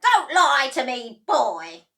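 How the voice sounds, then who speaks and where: A woman voicing a bossy, enormous old grandmother who shouts shrilly in a storybook reading. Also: big pitch swings, slow, far from the mic, exaggerated voice. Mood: accusing. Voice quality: shrill, bellowing.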